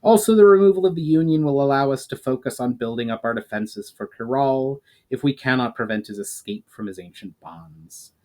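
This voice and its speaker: A man's voice: mid-range voice, expressive, brisk, hardly any pauses, warm tone, lightly acted. A man voicing a grave, knowledgeable elf mage on a quest, speaking formally and earnestly in a storybook reading. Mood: resolute.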